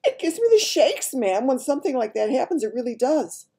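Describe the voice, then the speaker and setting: A woman's voice: high voice, very animated, a little fast, dark tone, husky, voice pushed up, exaggerated voice. A woman voicing an anxious, deferential royal maid in a storybook, flustered and quivering. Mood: anxious, insistent.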